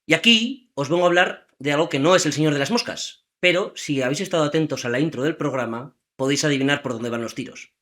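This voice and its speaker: A man hosting a trivia podcast, telling a story in a lively, chatty way and asking the listeners questions. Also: on the high side, fast talker, hardly any pauses. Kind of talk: casual storytelling chat.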